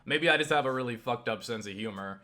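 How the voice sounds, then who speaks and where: A man talks off the cuff in a rambling vlog. His voice sits at an average pitch with a bright, forceful edge. He keeps breaking off and looping back mid-thought, cursing casually as he goes. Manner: halting, self-interrupting, circling back with repeated "you know"; casually profane. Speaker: YouTube vlogger.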